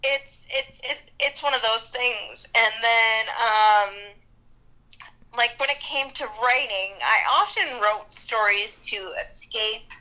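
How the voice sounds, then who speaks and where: A young woman speaks bluntly and outspokenly, with a bright, forceful edge to her voice. She's a musician and activist giving a casual interview and laughing easily. The sound is a little phone-like. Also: on the high side, takes it slowly. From New Jersey and New York area.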